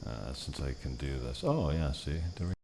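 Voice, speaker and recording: Male host, very deep and relaxed, off-the-cuff chat, slight open-window background noise. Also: moderate pace, gravelly. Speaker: podcast host. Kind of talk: casual unscripted chat.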